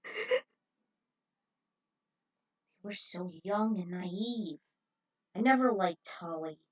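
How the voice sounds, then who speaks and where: A woman's voice: mid-range voice, extremely slow, far from the mic. A woman voicing a resolute female team leader, earnest and firm, in a story reading. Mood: earnest resolve. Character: steady, resolute woman leader consoling a teammate. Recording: dull, muffled lo-fi audio.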